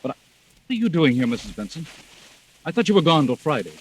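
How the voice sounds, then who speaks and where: A man's voice: fairly high pitch, very animated, fast talker, husky, low-quality recording. A man playing a defensive, agitated husband under suspicion in a radio mystery, flustered and emphatic, on a vintage recording. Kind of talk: old-time radio mystery acting.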